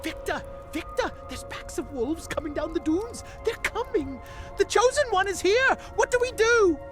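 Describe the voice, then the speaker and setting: A frantic young lookout , played by a woman, cries out a warning. Her voice is very high and leaps wildly in pitch, with faint music behind. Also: sing-song, moderate pace. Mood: panicked. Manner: shouting, alarmed. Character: panicked young female lookout.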